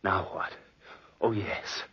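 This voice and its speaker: Crazed murderous nephew, slow halting speech, forceful and strained, gloating, obsessive, old radio horror drama. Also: male voice. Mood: furtive. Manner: breathy, halting, melodramatic delivery with repeated phrases. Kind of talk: 1940s radio horror acting. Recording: muffled old 1940s radio recording.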